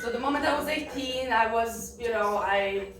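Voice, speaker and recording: A young woman from Poland tells her life story to a room at a benefit, candid and halting, laughing often. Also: fairly high pitch, a crowd in the background. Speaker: guest speaker. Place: event room. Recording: echoey room from far off.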